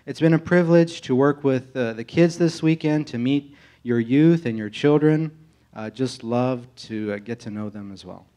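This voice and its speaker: An American man speaking as a pastor candidate in an informal testimony to a congregation, earnest and halting in his delivery. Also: medium pitch, warm tone. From United States, grew up in Tennessee. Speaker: pastor candidate being interviewed in church.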